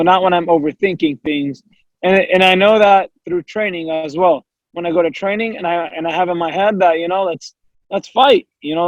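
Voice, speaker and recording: High-voiced young man, dry dull sound. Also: dry recording. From United States. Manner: earnest, talks freely in long runs with fillers and self-quotes. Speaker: professional MMA fighter, guest. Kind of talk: casual interview answers.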